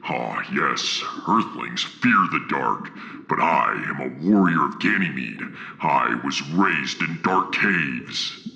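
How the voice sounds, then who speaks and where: A man playing a proud, boastful alien warrior sidekick in a sci-fi audio drama, with a gravelly voice and bombastic, earnest delivery. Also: rumbling low, lively intonation, takes it slowly, pushed and bright, voice pushed down, exaggerated voice. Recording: echoey cave-like reverb.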